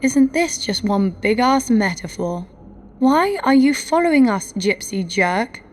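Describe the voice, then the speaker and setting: An actress in an audio drama playing a cocky, foul-mouthed adventurer, mocking and sarcastic. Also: female voice, on the high side, animated, measured pace.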